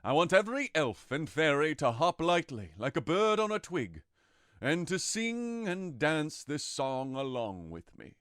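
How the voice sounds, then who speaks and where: Man acting regal fairy king, smooth resonant voice, lively range, stately, dry sound, audio drama. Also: on the high side, measured pace, no echo. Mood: regal blessing. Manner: stately, commanding, theatrical. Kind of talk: audio drama Shakespeare in modern English.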